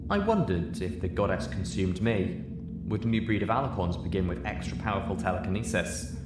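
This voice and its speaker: A man with a very low voice narrates scripted fiction. The room echoes around him, and there is clear background noise on the recording. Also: expressive, a little fast. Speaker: narrator, audiobook reader.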